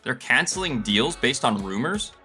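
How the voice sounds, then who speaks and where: Man acting earnest, animated office everyman hero. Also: medium pitch, moderate pace, close to an everyday voice. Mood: troubled. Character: earnest, wronged male office developer hero. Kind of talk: animated manga dub acting. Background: background music.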